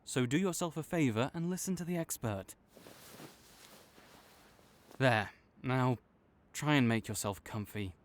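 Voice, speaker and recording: A man playing a tsundere supervillain in an audio roleplay, halting and exasperated, teasing and reluctantly caring toward a rival. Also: medium pitch, natural voice. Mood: reassuring, teasing. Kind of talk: intimate audio roleplay addressed to the listener.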